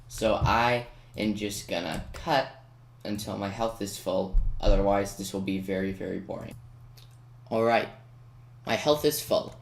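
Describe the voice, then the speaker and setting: A man's voice: on the low side, measured pace, vocal fry. A man giving casual tutorial commentary on a video game, hedging and correcting himself as he goes. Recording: roomy echo, faint noise with key clicks. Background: computer keyboard typing and clicks.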